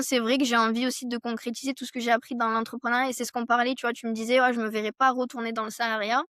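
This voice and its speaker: Young woman, higher-pitched, close dry sound. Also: a bit bright, smooth, no echo. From France. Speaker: podcast guest, entrepreneur. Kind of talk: casual conversational interview answers.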